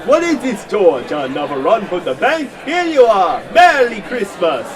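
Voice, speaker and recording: A boisterous puppet townsperson in a comic radio play. The voice is high and lively, with a clearly audible audience behind it. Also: male voice, unhurried, crowd noise, exaggerated voice. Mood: hearty. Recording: live stage with audience noise.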